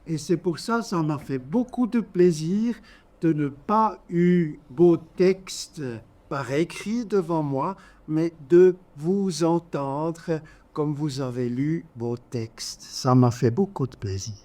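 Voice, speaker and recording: Slow, high-voiced Swiss German man. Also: warm tone. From German-speaking Switzerland. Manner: halting, deliberate short phrases. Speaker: audience member. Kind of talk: impromptu audience remarks at a literary event. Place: live literary café event.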